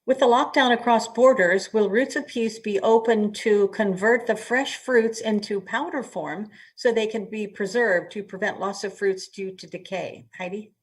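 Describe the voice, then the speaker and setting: Woman moderator, polished and smooth delivery, husky tone, distant webcam sound. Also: mid-range voice, moderate pace, hardly any pauses. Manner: smooth, polished reading of questions. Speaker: emcee and moderator. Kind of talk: moderating an online panel. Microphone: video call.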